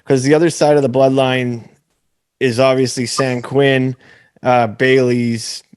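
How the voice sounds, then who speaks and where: A man hosting a podcast interview, with a raspy voice, chatting casually and swearing now and then. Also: medium pitch, level tone, a little slow, a little mellow, no echo, clean studio sound. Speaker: podcast host.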